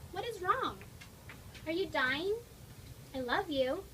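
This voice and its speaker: A woman's voice: very high voice, expressive, slow pace, bright, forceful tone, voice pushed up, heavily acted. A woman voicing a worried, loving young girl in a dramatic storybook reading. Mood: anxious, loving. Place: toy shop event, before an audience. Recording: distant mic, noisy room.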